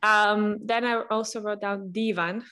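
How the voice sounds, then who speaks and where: A woman's voice: mid-range voice, steady tone, a little slow, pushed and bright. A young woman co-hosts a casual language podcast over a video call, talking into a headset. She explains words in a chatty, rambling way, full of fillers and "right?" checks, in a mid-range voice. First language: Serbian. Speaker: podcast co-host. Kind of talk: casual language-learning chat. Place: small room indoors.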